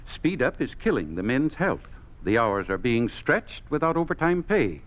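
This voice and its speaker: A man's voice: mid-range voice, sing-song, normal speed, close to an everyday voice. An American actor playing an anxious, deferential middle-aged union chairman in a 1950s radio drama, hesitant and earnest. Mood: earnest, protesting. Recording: dull, lo-fi 1950s radio recording.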